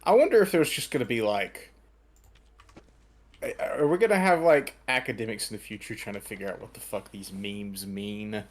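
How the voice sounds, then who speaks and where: Low-ish voice guy, slow and wondering out loud. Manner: musing, slow questions. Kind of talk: casual chat among friends.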